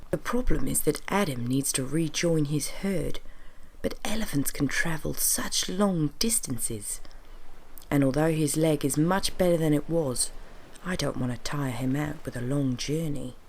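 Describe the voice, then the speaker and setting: A friendly female elephant keeper from a children's storybook, voiced by a woman narrator. She is caring and chatty, with polite manners and a slow, easy pace. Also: low voice, darkish tone, slight background noise. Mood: politely concerned.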